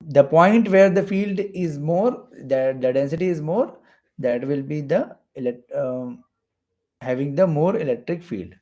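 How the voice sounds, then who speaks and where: A man's voice: a little high, unhurried, a little mellow. A man teaching physics in an explanatory lecture, repeating phrases for emphasis as he goes. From India. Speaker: physics teacher for exam preparation. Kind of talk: explanatory lecture while drawing diagrams.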